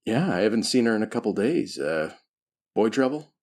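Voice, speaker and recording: Animated man playing a tabletop game. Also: on the low side, normal speed. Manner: wry, jokingly defensive. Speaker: player in a role-playing game. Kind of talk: casual tabletop game chat.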